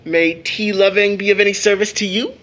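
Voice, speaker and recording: High-voiced, bantering young brother. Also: male voice, fairly even intonation, a little fast, fairly bright tone. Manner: playful, florid mock formality. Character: bantering, cheerful older brother, mock-grandiose young man. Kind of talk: audio drama acting.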